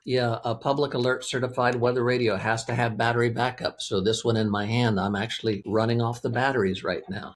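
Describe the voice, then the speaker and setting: A man's voice: medium pitch, fairly even intonation, a little fast, hardly any pauses, slight background noise. A man speaking as an interview guest, explaining a product in a plain-spoken, methodical, conversational way. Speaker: interview guest, weather radio company representative.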